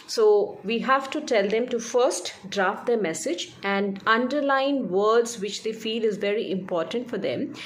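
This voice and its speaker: A woman's voice: a little high, a little slow, few pauses, a little hiss or hum. A woman speaking as a communication coach, giving an informal instructional explanation, stressing key words and often checking in with a short tag question.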